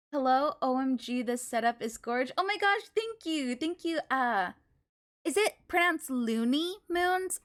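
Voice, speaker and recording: A woman's voice: high-pitched, fairly bright tone. A woman livestreamer chatting casually with her viewers, thankful and gushing, using girlish slang. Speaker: video game livestreamer. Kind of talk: casual chat with stream viewers. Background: game music.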